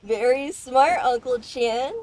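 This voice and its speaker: A woman's voice: high-pitched, takes it slowly, darkish tone. A woman streamer giving casual, chatty commentary while she plays a video game. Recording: background noise and game ambience.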